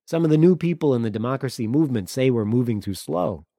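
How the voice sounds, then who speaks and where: A man's voice: mid-range voice, expressive, quick pace, creaky, warm tone, dry recording, clean studio sound, voice pushed up. A man voicing a weary, blunt Crimean local. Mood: weary frank. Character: weary cynical Crimean local man, world-wise and guarded. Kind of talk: audiobook character dialogue.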